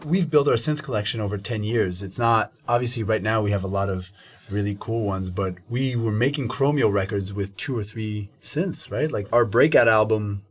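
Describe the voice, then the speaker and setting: A man's voice: lowish voice, normal speed. A man in his thirties, a musician, talking casually about his studio and gear. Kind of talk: casual chat and to-camera talk. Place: recording studio, indoors. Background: music playing.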